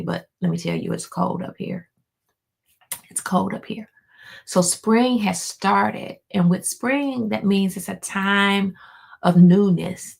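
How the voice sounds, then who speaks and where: Hoarse, gravelly-voiced woman giving a pep talk. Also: fairly low pitch. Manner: preachy and encouraging, repeats lines for emphasis, addresses listeners as y'all. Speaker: life coach hosting a weekly motivational show. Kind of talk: motivational pep talk to camera.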